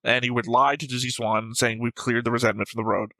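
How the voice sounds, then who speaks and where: A man's voice: mid-range voice, little pitch movement, fast, pushed and bright, dry recording. A man hosting an anime reaction show, casually reasoning aloud through plot theories in an argumentative way, with some casual swearing.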